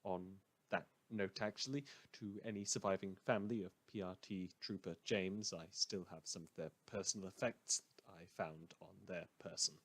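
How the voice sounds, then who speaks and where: A man playing an eccentric, self-important community radio newsreader who delivers comedic news in a theatrical, deadpan, rambling way. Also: low-pitched, moderate pace, few pauses. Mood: grandly welcoming.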